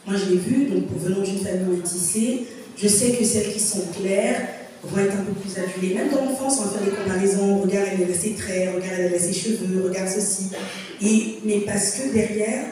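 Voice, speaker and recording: A woman's voice: medium pitch, dark tone, far from the mic, slight background noise. Woman speaking in an echoey hall. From Congo. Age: 30s. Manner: earnest, reflective, with many fillers and restarts. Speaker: panel speaker. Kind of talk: public panel discussion.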